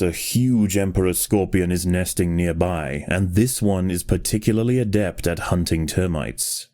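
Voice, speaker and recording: A man narrating a video game like a grave nature documentary, in a measured, solemn and precise manner. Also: sits low, mellow.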